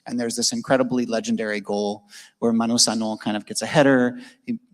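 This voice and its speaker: Fast-talking man in an echoey hall. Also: mid-range voice, little pitch movement. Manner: fast, thoughtful, many fillers and restarts. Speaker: panelist, historian. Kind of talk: casual panel discussion. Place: event venue with a large hall.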